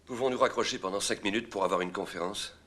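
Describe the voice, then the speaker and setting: A man acting a measured engineering company executive in a dubbed docudrama, speaking formally and technically. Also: fairly low pitch, a bit bright, close to an everyday voice.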